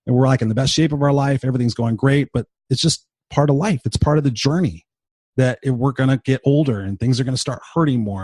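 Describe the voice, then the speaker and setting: A man's voice: medium pitch, dark tone, slightly rough, no echo. A man in his fifties hosting a motivational podcast, talking off the cuff in a blunt, profane, emphatic way, tired and under the weather. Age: 50s. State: tired and sick. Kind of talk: unscripted motivational monologue.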